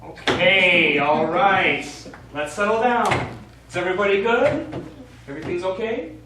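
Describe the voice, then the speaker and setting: An actor playing a protective, peacemaking space mission commander in a stage play. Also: male voice, high voice, expressive, a little slow. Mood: amused, exasperated. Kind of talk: stage acting. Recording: very echoey distant stage recording, faint noise.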